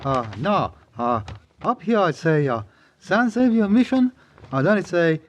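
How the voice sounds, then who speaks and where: Hotel servant, high-pitched, Chinese accent, halting broken English, eager, old radio western. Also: male voice, lively intonation.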